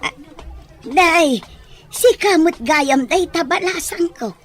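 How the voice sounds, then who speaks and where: An actress with a Filipino accent playing a naive, exclamatory middle-aged household helper in an audio drama. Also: female voice, extremely high pitch, animated, slow pace, faint music behind, heavily acted. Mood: surprised, excited. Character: naive, excitable household helper, an auntie figure. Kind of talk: Ilocano audio drama acting.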